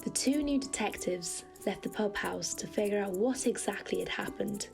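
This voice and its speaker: A woman's voice: medium pitch, normal speed, creaky, music in the background, natural voice. A woman narrating a comedic audio drama as a wry storyteller.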